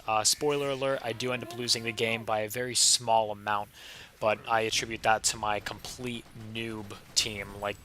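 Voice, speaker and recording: A young man with a slightly raspy, sick voice, casually commenting over his own gameplay footage with a chatty, laid-back manner. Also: lowish voice, bright, forceful tone, slight background noise. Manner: casual, laid-back, chatty with frequent fillers and short laughs. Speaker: gaming channel host.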